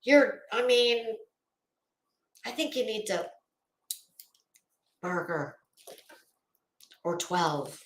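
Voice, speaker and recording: A woman giving rambling, casual online commentary in a sarcastic, mocking manner, with halting delivery and drawn-out pauses. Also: mid-range voice, lively intonation, a little hiss or hum. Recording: roomy, echoey sound.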